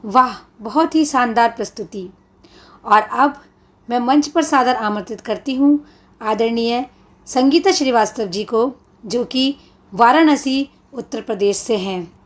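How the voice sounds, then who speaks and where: Woman host reading out the next poet, polite and formal, fairly high voice. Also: measured pace. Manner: polite, praising introductions. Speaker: program host. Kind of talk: formal stage announcing.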